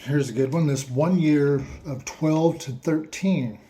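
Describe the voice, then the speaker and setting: A man slowly asking listeners a series of reflective questions, with playful asides in a surprised voice. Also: fairly high pitch, dark tone, rough recording. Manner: slow, repetitive questions with mock-surprised asides.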